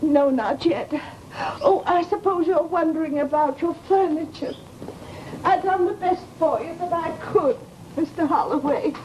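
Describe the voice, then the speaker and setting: Mournful, fluttery landlady: high voice, unhurried, folksy and sighing, on a hissy old radio recording. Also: a little mellow, highs cut off. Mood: mournful, sentimental. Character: fussy, sentimental landlady, mournful and easily flustered. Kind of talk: 1940s radio drama acting.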